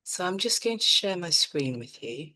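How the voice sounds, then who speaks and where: A middle-aged woman from Nigeria, a psychotherapist presenting an online seminar in a calm, measured, reflective way. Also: on the low side.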